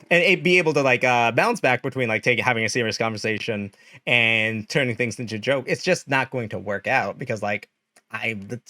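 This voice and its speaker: A man's voice: mid-range voice, sharp tone, no echo, clean studio sound. A young man with a nasal voice, chatting animatedly and laughing often as he talks to his stream. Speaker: streamer host. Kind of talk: casual livestream chat.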